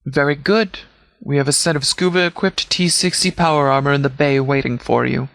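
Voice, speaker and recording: A man playing a stern, composed sheriff in a video game, speaking in a measured, formal, authoritative way. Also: medium pitch, steady tone, gravelly, a bit bright, dry recording. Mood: formal, firm. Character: stern, composed male sheriff leading a post-apocalyptic militia. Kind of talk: scripted video game dialogue.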